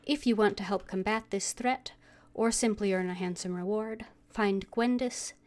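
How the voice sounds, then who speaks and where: A woman game streamer casually reading game text aloud and muttering low-key asides. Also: normal speed, a little mellow. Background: game music, keyboard typing.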